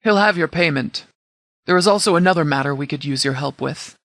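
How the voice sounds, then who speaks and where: A man playing a stern, composed sheriff in a video game, speaking with formal, clipped military authority in a slightly higher voice. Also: brisk, fairly bright tone, dry recording, studio quality. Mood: businesslike. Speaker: commanding officer. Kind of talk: scripted video game dialogue.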